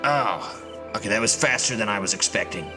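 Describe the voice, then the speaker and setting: A man's voice: fairly low pitch, brisk. A man giving casual, profane, grumbling commentary while he plays a video game. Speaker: gaming streamer playing and commenting on a game. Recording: game music behind the voice. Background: video game music.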